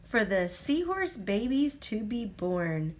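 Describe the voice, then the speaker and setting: Adult woman reading a picture book to a small child; slow, very expressive pitch, gentle sing-song delivery. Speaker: storyteller reading aloud to a child.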